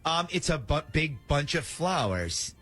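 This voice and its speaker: Animated higher-pitched man hosting morning radio. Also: unhurried, soft background music. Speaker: morning radio show host. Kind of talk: radio prank call segment banter.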